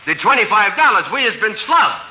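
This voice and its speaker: High, sing-song pompous sidekick; hissy vintage radio. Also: male voice, very animated, brisk, sharp tone, exaggerated voice. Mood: fussy. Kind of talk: 1940s radio comedy acting. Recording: hissy lo-fi old radio recording.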